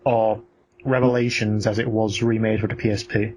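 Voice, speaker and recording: Blunt man with halting delivery. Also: lowish voice, little pitch movement, a little mellow. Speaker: podcast co-host. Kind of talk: casual opinionated film discussion.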